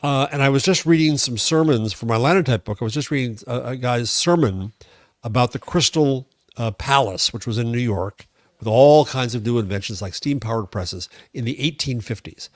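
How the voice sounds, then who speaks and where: A man's voice: mid-range voice, moderate pace, no echo. American man, dry close-miked podcast commentary. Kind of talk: conversational tech commentary.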